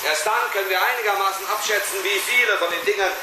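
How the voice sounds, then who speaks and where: A man's voice: sits high, quick pace, lightly acted. A man playing an urgent, methodical scientist in a radio play, giving emphatic instructions. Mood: explaining loudly. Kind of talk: 1980s German radio play acting. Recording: echoing room, distant, noisy.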